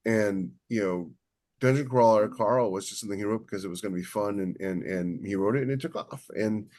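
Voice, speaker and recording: A man's voice: fairly low pitch, darkish tone. A man co-hosting a podcast, chatting casually and giving blunt, emphatic writing advice. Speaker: podcast co-host, fiction writer.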